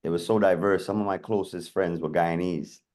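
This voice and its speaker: A man from Atlanta talks as a guest in a casual interview, his voice very low and deep. He rambles through his stories in a chatty way and leans on "you know" often. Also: fairly even intonation, normal speed, darkish tone. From Atlanta, USA. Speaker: guest DJ and producer.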